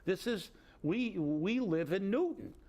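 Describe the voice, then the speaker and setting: A man's voice: sits high, expressive, slow pace, mellow. A man co-hosting a local political talk show, ranting in an indignant, sarcastic way and rambling with restarts. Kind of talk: opinionated casual political chat.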